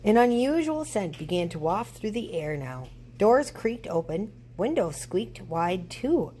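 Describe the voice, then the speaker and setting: A woman reading a children's storybook aloud in an expressive narrating style. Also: medium pitch, measured pace, slight background noise. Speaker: storybook reader.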